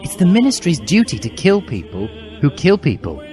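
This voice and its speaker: A man's voice: on the high side, wildly swooping pitch, moderate pace, voice pushed up. A man voicing a prim, naive know-it-all teenage schoolgirl in a comic dramatic reading. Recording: loud music behind the voice.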